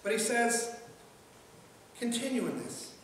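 A man's voice: very high voice, measured pace, a bit bright, slight background noise. A middle-aged man preaching a sermon from a pulpit in a large church, in a conversational manner that repeats words as he goes. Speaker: pastor. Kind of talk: sermon with jokes and quoted voices. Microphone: pulpit mic, filmed from a far camera. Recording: echoey hall, far-off mic, faint ambience.

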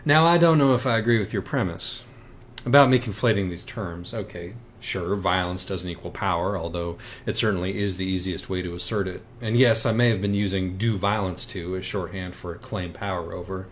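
A man's voice: deep voice, a little fast, a bit bright, a little hiss or hum. A man performing a cocky, sardonic, argumentative young man in audiobook dialogue. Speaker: audiobook reader.

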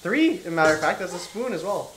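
A young man bantering with his partner on a home cooking stream, teasing and boasting with a deadpan edge. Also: high-pitched, fast. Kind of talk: casual playful banter. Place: home kitchen, indoors. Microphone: room mic from a fixed camera. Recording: echoey kitchen with music behind. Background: background music.

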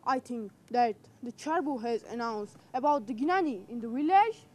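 A teenage girl playing a gracious young king in a school drama, speaking in slow, formal recited lines. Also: on the high side, lively intonation, a little mellow. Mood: regal. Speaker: student actor. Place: outdoor rooftop terrace in mountains.

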